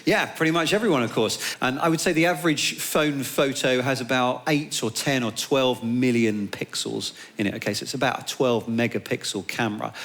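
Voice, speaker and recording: A man presenting live on stage, addressing the audience directly in an animated way. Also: medium pitch, normal speed, hardly any pauses. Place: theatre stage.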